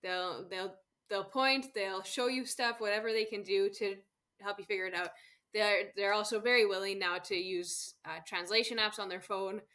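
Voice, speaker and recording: A woman's voice: medium pitch, fairly even intonation, normal speed, pushed and bright. A woman from Canada, a guest on an interview show, chatting casually about travel and laughing often. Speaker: guest, solo travel expert.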